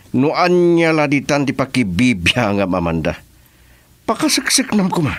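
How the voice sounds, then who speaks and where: A man's voice: medium pitch, big pitch swings, unhurried. A man with an Ilocano accent playing a powerful, commanding boss in a radio serial. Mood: assured. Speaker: actor in a radio drama.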